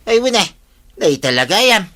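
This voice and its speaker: A man's voice: high-pitched, big pitch swings, normal speed, pushed and bright, exaggerated voice. An actor with an Ilocano Filipino accent playing a boisterous, boastful village man in a radio comedy drama.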